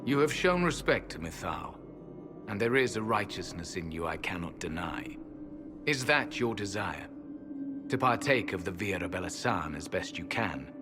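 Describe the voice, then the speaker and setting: Deep, solemn ancient elven guardian. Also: male voice, animated, normal speed, music in the background. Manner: grave, formal, archaic phrasing. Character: ancient proud male elven temple guardian, solemn, disdainful. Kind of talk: dramatic fantasy game acting.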